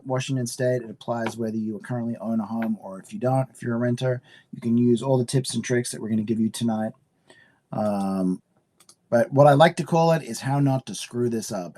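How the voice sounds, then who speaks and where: A middle-aged man, originally from Australia, hosts a live webinar in an upbeat, chatty way, dropping plenty of "um"s and "uh"s as he talks to his viewers. His voice is a little hoarse from a cold. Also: medium pitch, a little mellow. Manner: upbeat, chatty, many fillers. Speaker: realtor hosting a webinar.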